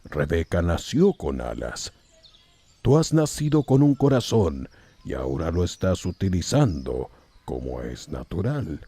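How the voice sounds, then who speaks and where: A man playing a wise, calm old wizard mentor in an audiobook, husky and measured in his delivery. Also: deep voice, dry recording, exaggerated voice. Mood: gently instructive. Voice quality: gravelly, husky. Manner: slow, measured, sententious. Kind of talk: audiobook character acting.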